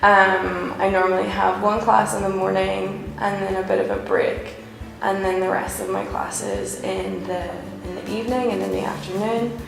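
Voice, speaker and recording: Gravelly Northern Irish woman. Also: medium pitch, expressive, normal speed. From Northern Ireland. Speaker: English teacher. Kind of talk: recruitment video interview. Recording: very echoey room, music bed.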